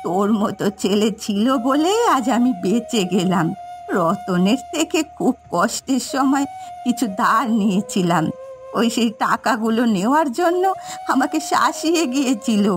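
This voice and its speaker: A woman's voice: fairly high pitch, animated, measured pace, soft background music. A woman dubbing a frail, plaintive old village granny in a melodramatic cartoon. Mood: grateful, soothing.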